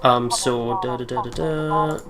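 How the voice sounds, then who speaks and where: A man's voice: medium pitch, fairly even intonation, a little slow, crowd noise. A man hosting a let's play with casual, jokey, self-deprecating chat.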